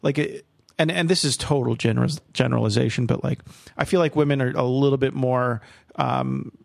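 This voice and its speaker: A man hosting a casual podcast, feeling his way through each thought with stops and fillers. His voice sits in a middle pitch. The recording is close and dry, with no room sound. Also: lively intonation, creaky, no echo. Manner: halting, lots of "like" and "um" fillers. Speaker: podcast host.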